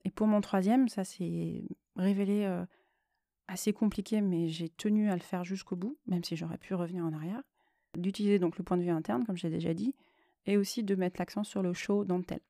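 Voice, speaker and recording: A woman's voice: medium pitch, fairly even intonation, brisk, mellow, no echo, clean studio sound. A woman hosting a solo podcast, speaking unscripted in a reflective, explanatory way and often correcting herself as she goes. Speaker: podcast host and novelist.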